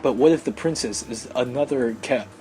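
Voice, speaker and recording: A man voicing a sarcastic, exasperated high school older brother in a visual novel, grumbling and protesting. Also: fairly quick, darkish tone, slight background noise, close to an everyday voice, rough recording.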